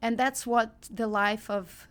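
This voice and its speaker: A woman's voice: on the high side, fairly even intonation, takes it slowly, fairly bright tone. A middle-aged woman from Ukraine with a Ukrainian accent, telling personal stories conversationally as a podcast guest, earnest and emphatic.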